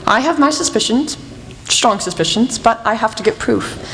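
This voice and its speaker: A woman's voice: fairly high pitch, animated, moderate pace, a bit bright, slight background noise. Woman acting commanding lady detective in an echoing hall. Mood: commanding, determined. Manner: crisp, authoritative, formal. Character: commanding female detective Mrs. Holmes, brisk and shrewd. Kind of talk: radio play acting. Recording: reverberant hall, faint music.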